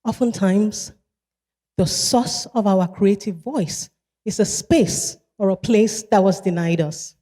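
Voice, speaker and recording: A woman in her thirties from Nigeria with a Nigerian accent, giving a motivational keynote speech in a measured way and repeating key phrases for emphasis. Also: medium pitch, mellow. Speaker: keynote speaker. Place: large event hall. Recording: long hall reverb.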